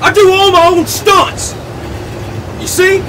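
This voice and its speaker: A man's voice: level tone, slow pace. A man playing a crazed, boastful cowboy film star in old-time radio drama, hollering exuberantly in folksy cowboy talk. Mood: manic, exultant. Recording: noisy, with vehicle rumble behind.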